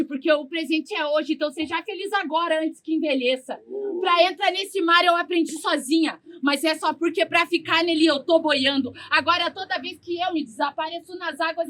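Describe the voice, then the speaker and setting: A woman's voice: extremely high pitch, a little fast, a bit bright. A Brazilian woman declaiming spoken word poetry at a live event. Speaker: slam poet.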